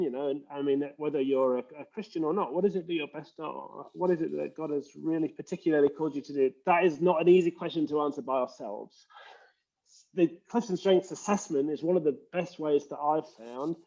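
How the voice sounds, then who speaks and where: A man's voice: on the high side, a little fast, a little mellow, dull audio. A man with an English accent, a leadership coach explaining earnestly in a conversational interview over a video call. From England. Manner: earnest, explanatory, frequent uh fillers.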